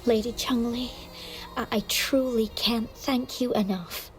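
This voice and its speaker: A woman voicing a frail, gentle, ailing artist in a video game, soft, halting and earnest. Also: a little high, fairly even intonation, soft background music, lightly acted. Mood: moved.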